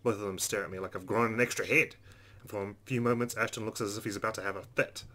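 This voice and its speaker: A man's voice: fairly low pitch, steady tone, quick pace, sharp tone. A man hosting a gameplay video, reading visual novel narration expressively and adding casual asides. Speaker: let's play host reading a visual novel aloud.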